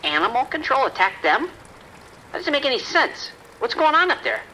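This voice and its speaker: A man's voice: very high-pitched, sing-song, moderate pace, vocal fry, sharp tone, a little hiss or hum, full character voice, rough recording. A man playing an uneasy, bewildered patrol deputy in an audio drama, giving terse radio reports. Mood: alarmed. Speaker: police deputy. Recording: radio calls with rain behind.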